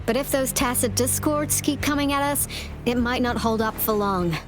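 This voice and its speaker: A woman performs a composed, earnest female official in charge of a city's defenses. Her voice sits fairly high and she speaks formally, with clear wording. It is video game dialogue, set over prominent music. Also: normal speed, close to an everyday voice, poor audio. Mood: polite, composed. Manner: formal, articulate. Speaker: game character, director of defense fortifications. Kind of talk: English game dub dialogue. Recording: studio voice under loud music. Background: game soundtrack music.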